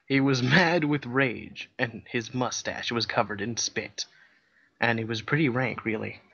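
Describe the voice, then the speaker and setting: A man reading a fan fiction aloud as a comedy bit, narrating in a deadpan way with dry asides. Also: medium pitch, measured pace, gravelly, husky, lo-fi sound.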